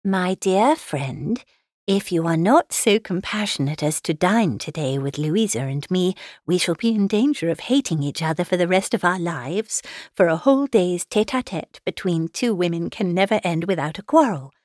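A woman's voice: on the low side, lively intonation, normal speed, darkish tone, dry recording, clean studio sound. A woman voicing a genteel young society lady, arch and formal, in a letter read aloud from a classic novel. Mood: affected, sociable. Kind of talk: dramatised classic novel reading.

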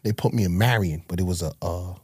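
Man, New York accent, low husky voice, dry close mic, streetwise profane storytelling. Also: fast, darkish tone, no echo. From Harlem, New York. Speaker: guest telling his life story. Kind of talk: casual storytelling interview.

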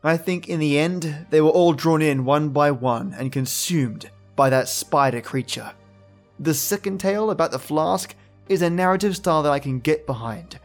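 A man's voice: fairly high pitch, normal speed. An Australian man hosting a podcast, giving casual, reflective commentary. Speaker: podcast host. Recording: faint music behind voice.

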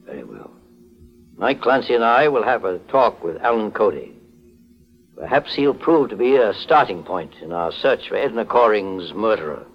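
An actor playing a calm, probing, authoritative private investigator in a 1940s radio drama, measured, formal and stern. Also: male voice, fairly low pitch. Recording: dull old 1940s radio broadcast.

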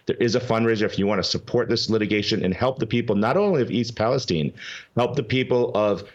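A man's voice: fairly low pitch, quick pace, dull audio. A man as a guest on a talk show, speaking urgently and emphatically, often restarting his sentences. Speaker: guest, legal advocacy group representative. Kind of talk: animated call-in interview.

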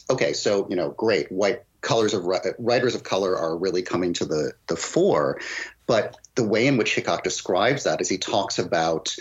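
Low, husky man, muffled audio. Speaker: podcast guest, poet and critic. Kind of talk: conversational literary discussion.

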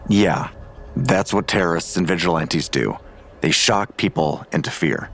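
An actor playing a curt, guarded, world-weary lawman in an audio drama, with blunt, terse delivery and a gravelly voice. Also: low voice, a little slow, a bit bright, a little hiss or hum, full character voice. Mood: guarded, dismissive. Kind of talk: full-cast audio drama acting.

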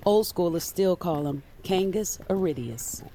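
A woman who mentors a newcomer to a hidden magical world. Her voice sits low. She is brisk and playful, prompting and teasing in audio drama dialogue. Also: lively intonation, mellow, slight background noise. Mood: playful, approving.

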